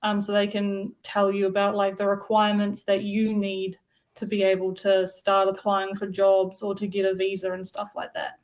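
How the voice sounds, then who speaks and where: A woman, teaching English in a relaxed, friendly chat over a video call, with frequent ums. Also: mid-range voice, normal speed. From New Zealand. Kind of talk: casual lesson conversation.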